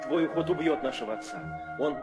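An actor playing a pleading, loyal young prince in an old theatrical audio drama. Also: male voice, a little high, animated, fairly quick, close to an everyday voice. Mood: urgent. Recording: old radio play, music behind.

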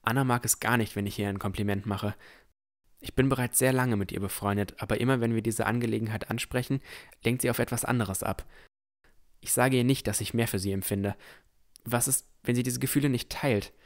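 A man playing a dreamy, curious young villager who narrates a fantasy audio drama in measured tones. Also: mid-range voice, steady tone, natural voice. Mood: wistful. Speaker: narrator and lead character.

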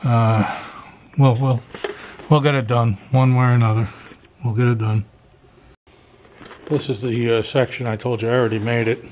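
A middle-aged man casually narrating his home repair work, unhurried and rambling with frequent uhs and throat clearing, recorded on a handheld camera in his garage workshop. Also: mid-range voice, level tone, darkish tone, a little hiss or hum. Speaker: DIY home repair vlogger. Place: garage workshop and attic crawl space, indoors.

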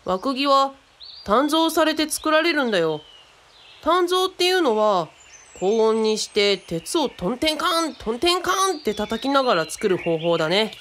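A woman's voice: sits high, very animated, moderate pace, fairly bright tone, a little hiss or hum. A woman playing an easygoing, friendly young teacher in a radio drama, explaining things in casual, boyish speech. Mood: explanatory. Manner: casual explaining, bits of Kyushu dialect.

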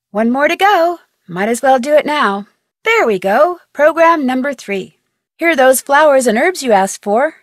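A woman's voice: fairly high pitch, dry recording, clean studio sound. A woman playing a plucky, polite young amateur detective in a video game, earnest and thinking aloud. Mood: musing. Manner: earnest, crisply phrased, self-narrating.

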